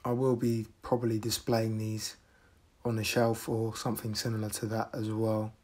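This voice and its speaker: A man giving an unboxing review voice-over in a measured, matter-of-fact way. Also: fairly low pitch, monotone, darkish tone. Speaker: video reviewer.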